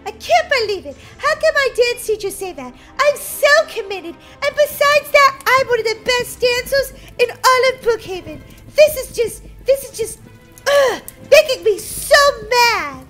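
A woman's voice: very high voice, big pitch swings, normal speed, fairly bright tone, soft background music, full character voice. A woman voicing a whiny, easily angered young girl in a children's roleplay story, with emphatic delivery. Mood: indignant. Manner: indignant, emphatic.

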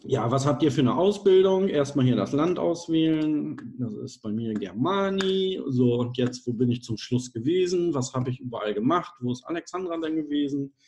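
A man's voice: a little high, animated, normal speed, few pauses, darkish tone. A middle-aged man presenting a casual screen-share tutorial on a video call, chatty and self-deprecating, thinking aloud with fillers. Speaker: online course presenter.

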